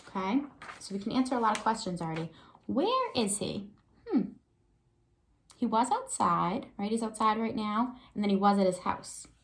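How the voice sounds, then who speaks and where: A woman speaks as an elementary school teacher leading a read-aloud for young children. Her voice sits at an average pitch for a woman. She talks the way a classroom teacher does, thinking aloud and checking in with small questions.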